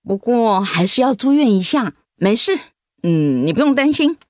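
A protective, flustered mother in a radio drama. Her Taiwanese Mandarin is very animated in pitch and fretfully hesitant, recorded close and dry. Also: moderate pace, no room sound. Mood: evasive reassurance. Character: protective, flustered middle-aged mother hiding bad news.

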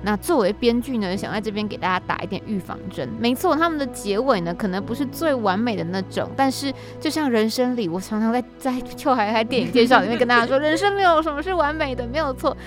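A woman's voice: a little high, expressive, fast, few pauses, music in the background. A woman with a Taiwanese Mandarin accent, hosting a podcast conversationally. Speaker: podcast host and scriptwriter.

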